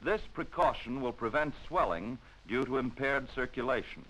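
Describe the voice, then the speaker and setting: An American man narrates in the style of a 1940s military instructional film. His delivery is formal and measured, with a mid-pitched voice that rises and falls in an animated way. It sounds like a vintage film soundtrack. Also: low-quality recording.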